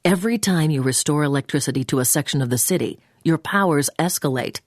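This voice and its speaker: An actress playing a stern, controlling government agent who gives clipped, no-nonsense orders as a mission handler in a video game. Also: female voice, low voice, quick pace, dry recording, studio quality. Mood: businesslike.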